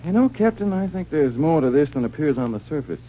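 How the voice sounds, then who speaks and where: An actor playing a composed, inquisitive doctor-detective with a measured, authoritative manner in an old-time radio drama. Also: male voice, fairly high pitch, very animated. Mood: pondering. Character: composed, inquisitive male doctor and criminal sleuth. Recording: dull, hissy vintage radio recording.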